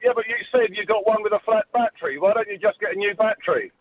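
A man's voice: sits high, quick pace, dry recording. Cheeky man on a tinny phone line. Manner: cheeky, teasing. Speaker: caller. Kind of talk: casual phone-in banter. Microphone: telephone.

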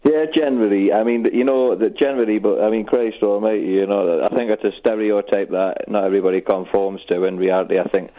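Fast-talking Geordie man on the phone. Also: lowish voice, fairly even intonation. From Newcastle, England. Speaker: radio phone-in caller. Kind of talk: casual chat. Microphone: telephone. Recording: dull band-limited phone line.

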